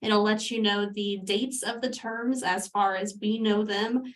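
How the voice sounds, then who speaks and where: A woman's voice: medium pitch, steady tone, a little slow, recorded from a distance. A woman with a congested voice giving a friendly orientation presentation over a call through headphones, with frequent ums. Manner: friendly, uses many ums. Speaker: academic advisor.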